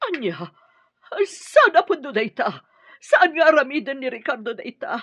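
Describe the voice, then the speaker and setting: An actress playing an anguished, wronged wife in a radio drama, pleading and defiant with emotion. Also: female voice, high voice, very animated, normal speed, a bit bright, no room sound. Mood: distressed, defiant. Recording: dull, dry lo-fi audio.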